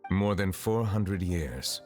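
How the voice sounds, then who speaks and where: An actor playing a dignified, ancient chief justice in a video game, speaking formally, calmly and probingly. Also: male voice, low-pitched, slow pace. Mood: grave. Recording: long reverb under music. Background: background music.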